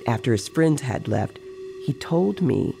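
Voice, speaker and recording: A man reading the part of an aging sorcerer who recounts his dream journeys in a measured, reflective way in an audio story. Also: medium pitch, big pitch swings, gravelly, warm tone, slight background noise, exaggerated voice. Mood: reflective, assured.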